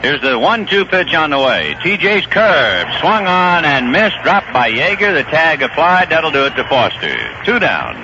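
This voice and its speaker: A man calling a baseball game play by play on the radio, chatting easily about the players between pitches. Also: measured pace, hardly any pauses, noisy background. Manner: relaxed, conversational storytelling between pitches. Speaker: play-by-play announcer. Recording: dull lo-fi 1970s radio broadcast.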